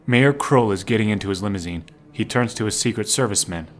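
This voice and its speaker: A man's voice: lowish voice, a little fast. A man narrating a scripted audio drama in the present tense. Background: music.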